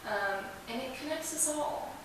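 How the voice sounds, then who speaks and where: A woman's voice: high voice, animated, normal speed. A woman speaking casually as an interview guest, enthusiastic and emphatic about what she describes. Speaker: interview guest, museum representative. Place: indoors in an old museum building. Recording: very echoey room, distant mic, hiss.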